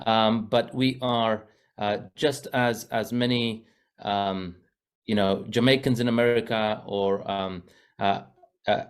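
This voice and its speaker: A man's voice: lowish voice, fairly even intonation, takes it slowly, clean tone. A man from Zambia, a well-being coach and podcast guest, talking earnestly with many fillers and restarts. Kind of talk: casual podcast interview.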